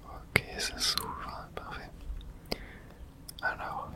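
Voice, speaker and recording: A man whispering ASMR video game commentary, murmuring short reactions to himself. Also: extremely slow.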